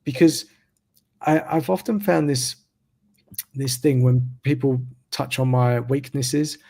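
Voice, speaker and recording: Man in his 30s, gravel in the voice, steady slow reflective talker, hosting an interview. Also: medium pitch. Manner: slow, even, reflective delivery. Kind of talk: casual interview conversation. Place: remote video call. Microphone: video call mic.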